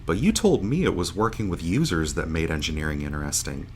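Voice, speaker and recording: A man's voice: extremely low pitch, animated, fast talker, darkish tone, slight background noise. A man acting in an audio drama as a jovial, bewildered starship pilot just woken from a long sleep, informal and earnest. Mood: curious. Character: jovial, bewildered starship pilot waking after seventeen years asleep.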